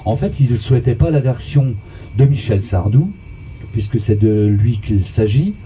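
A man's voice: mid-range voice, animated, moderate pace, dark tone, slight background noise. A man hosting a live radio karaoke show, chatting to listeners and introducing their song requests. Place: radio studio. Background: karaoke music.

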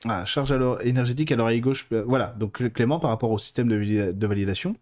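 A man with a relaxed, mellow voice hosts a live stream and answers viewers. He talks informally and colloquially, wandering into digressions as he goes. The delivery is chatty and unscripted. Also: mid-range voice, quick pace. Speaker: host of a live stream on mediumship and clairvoyance. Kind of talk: casual live talk answering viewer questions.

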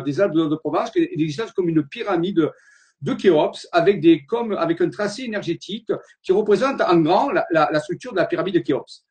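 A man's voice: high voice, darkish tone. A man giving an earnest slide-show lecture, wandering from point to point and often repeating his words as he searches for them.

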